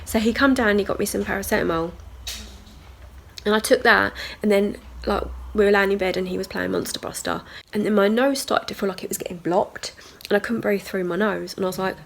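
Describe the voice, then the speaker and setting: A woman's voice: medium pitch, fairly even intonation, brisk, gravelly, slight background noise. Stuffy-nosed woman vlogging. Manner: chatty, rambling, lots of "like" and "so yeah". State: stuffy nose from a cold. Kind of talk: casual video diary chat. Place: at home and in the garden.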